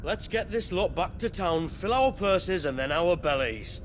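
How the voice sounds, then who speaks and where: Casting: bossy, cowardly gang boss, high-voiced and swooping, theatrical game cutscene delivery. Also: male voice, animated, unhurried, noisy background. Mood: bossy, grumbling. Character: blustering, cowardly chief of a small work gang. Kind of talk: video game cutscene acting.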